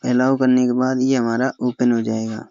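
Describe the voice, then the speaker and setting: Young indian guy doing an app tutorial, pretty flat delivery, kinda lo-fi sound. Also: mid-range voice, normal speed, no echo. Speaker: tutorial host. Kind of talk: step-by-step phone app tutorial voice-over. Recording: slightly dull, lo-fi, dry close recording.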